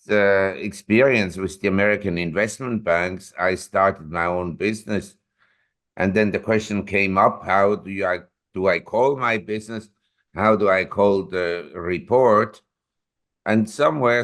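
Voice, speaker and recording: Older guy in his 70s, lowish voice, rambles through stories as a guest. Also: a bit bright. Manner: rambling, anecdotal storytelling with self-corrections. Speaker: investment newsletter publisher, guest. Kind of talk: interview.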